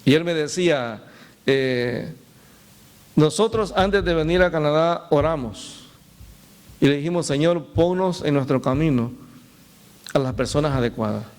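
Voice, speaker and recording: Male pastor, high-pitched, conversational preaching with asides to the flock. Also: darkish tone. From El Salvador. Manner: storytelling with laughs and direct appeals to the congregation. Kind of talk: sermon.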